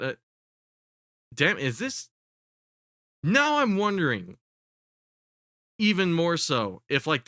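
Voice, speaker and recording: Stuffed-up guy rambling on his stream, cussing a lot, sharp bright voice, dead dry sound. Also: mid-range voice, no room sound. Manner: rambling, profane, full of fillers and restarts. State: congested. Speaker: streamer commentating on videos. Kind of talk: casual live-stream commentary.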